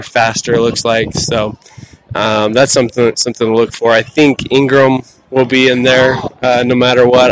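A man delivers a casual solo sports podcast, weighing up the team in a fairly flat, even tone. He stumbles through plenty of fillers and restarted phrases. A faint hiss of noise sits under the recording. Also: medium pitch, poor audio. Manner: halting, lots of ums and restarts. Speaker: podcast host.

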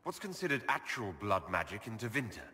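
A man's voice: on the low side, very animated, fairly quick, pushed and bright, big-room sound. An actor playing an earnest male elf leader who asks direct, skeptical questions. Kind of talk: video game dialogue.

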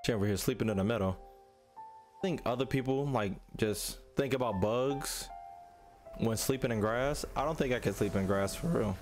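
A man's voice: medium pitch, normal speed, darkish tone. A man in his twenties with an American accent, giving casual, slangy commentary as a reaction host and repeating phrases for emphasis. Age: 20s. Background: game trailer music and sound.

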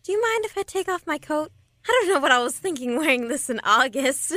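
A woman's voice: high-pitched, very animated, fairly quick, sharp tone, no room sound, studio quality, exaggerated voice. A young woman playing an agreeable date in an absurdist radio play. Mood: friendly. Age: young adult.

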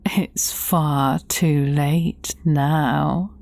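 A woman playing a menacing, gloating evil fairy sorceress in a fairy-tale audio drama, taunting deliberately and often dropping near a whisper. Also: sits low, steady tone, vocal fry, darkish tone, full character voice. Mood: coaxing, sinister.

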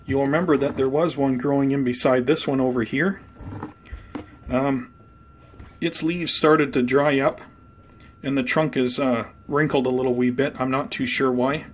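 A man's voice: mid-range voice, fairly even intonation, moderate pace. Casual man narrating over background noise. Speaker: plant hobbyist vlogger. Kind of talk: casual narrated show-and-tell. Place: indoors at home by plant shelves. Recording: clear background noise, room ambience.

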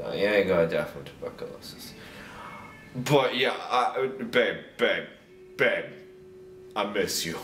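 A man playing a drunk, lovesick, melodramatic young guy, rambling haltingly and repeating himself as if on a comic voicemail. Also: sits low, fairly bright tone. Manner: slurred, halting, repetitive, overwrought. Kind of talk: comedic rambling voicemail monologue. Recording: roomy, distant, noisy home recording.

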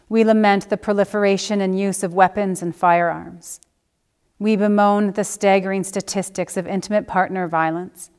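A middle-aged woman leads a scripted prayer as a minister. Her voice is mid-pitched, smooth and resonant, and her delivery is formal and measured. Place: church sanctuary.

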